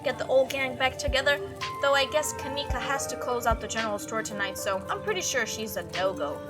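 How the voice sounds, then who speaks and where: A woman's voice: high voice, fairly quick, over music, natural voice. A woman voicing an upbeat, chatty young monster-hunting video maker in a visual novel read-through. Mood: excited. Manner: bubbly, casual, enthusiastic.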